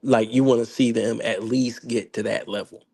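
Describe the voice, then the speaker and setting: A man with a gravelly, hoarse voice chats about sports in a loose, slangy way. He rambles and restarts often, pitched in the middle range for a man. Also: steady tone, moderate pace, darkish tone. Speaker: podcast host. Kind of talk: casual sports chat.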